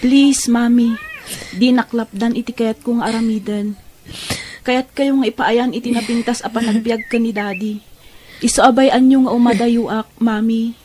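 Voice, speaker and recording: Woman playing loving Filipino girl, high voice, pleading sweetness, audio drama, slight noise in background. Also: steady tone, normal speed, warm tone, close to an everyday voice. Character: loving, earnest young Filipino daughter. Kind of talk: emotional Ilocano audio drama acting.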